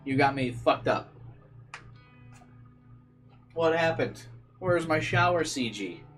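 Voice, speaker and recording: A man's voice: a little high, expressive, slow pace, fairly bright tone. A man reading a visual novel aloud as a streamer, shifting between dramatic narration and slangy, playful asides. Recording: music playing behind the voice. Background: guitar game music.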